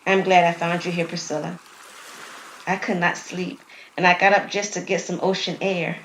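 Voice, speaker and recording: Lady playing a shy gentle young guy in an audio drama, reserved, slight background noise. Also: fairly low pitch, flat delivery, normal speed, rough recording. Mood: warm, tentative.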